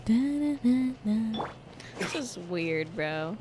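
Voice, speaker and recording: A woman's voice: on the high side, animated, slow pace, background noise. A woman streamer giving casual, giggly commentary over a game, with sarcastic asides and swearing. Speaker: gaming streamer. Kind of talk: casual let's-play commentary. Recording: voice over game audio with music.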